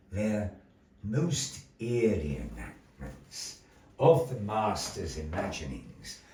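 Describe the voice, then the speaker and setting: A man's voice: low-pitched, darkish tone. Guy hosting a puppet show, over-the-top showman vibe, far off the mic in an echoey gallery with faint noise behind. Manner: flamboyant showman, mock-dramatic. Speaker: master of ceremonies at a puppet show. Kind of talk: theatrical stage compering. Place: indoor gallery venue. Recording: roomy, distant, faint background noise.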